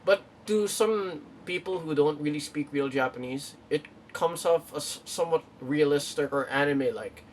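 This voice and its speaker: A young man from the Philippines rambles through a casual vlog, full of fillers and restarts. His voice sits a bit high for a man. He is picked up from some distance, with faint background noise. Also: normal speed, hardly any pauses. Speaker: YouTuber. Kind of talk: casual vlog talk to camera.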